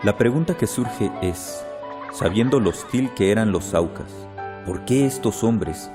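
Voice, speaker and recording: Man narrator, very deep, solemn scripted read over a music bed. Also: lively intonation, unhurried. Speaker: documentary narrator. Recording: clear music bed under the voice.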